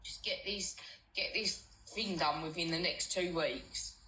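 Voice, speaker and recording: A woman's voice: lowish voice, unhurried, bright, forceful tone, rough recording. A British woman chatting casually in a colloquial, sweary, supportive manner. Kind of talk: casual chat.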